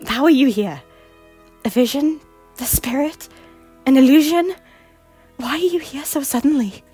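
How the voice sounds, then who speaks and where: A woman playing a remorseful young noblewoman in an audio drama, earnest and often speaking in a hushed voice. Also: high-pitched, unhurried, faint music behind. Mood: defiant.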